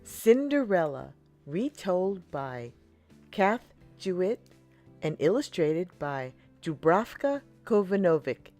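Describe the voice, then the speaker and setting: A woman reads a fairy tale aloud for young children. Her voice sits a little low and swings with lively expression, taking its time over each phrase. Soft music plays faintly behind her. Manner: slow, deliberate, animated storytelling for children. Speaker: storyteller, channel host. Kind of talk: expressive read-aloud of a children's picture book.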